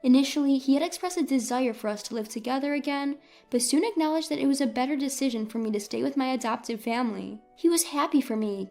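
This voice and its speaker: A woman's voice: high-pitched, creaky, dark tone. A woman reading a scripted first-person story as a dramatic narrator.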